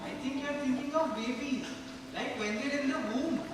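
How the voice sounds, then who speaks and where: A man's voice: very high voice, normal speed. A man acting an earnest young character in a theatrical stage drama. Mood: nervous, chiding. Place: stage in a large hall. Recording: very distant, echoey hall with music.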